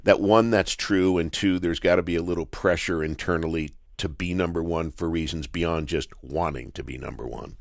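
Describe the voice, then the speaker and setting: A man's voice: low-pitched, steady tone, moderate pace, gravelly, few pauses, no room sound, studio quality. A man hosting a sports radio talk show, asking rambling questions in a conversational, jokey way. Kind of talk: casual sports radio interview.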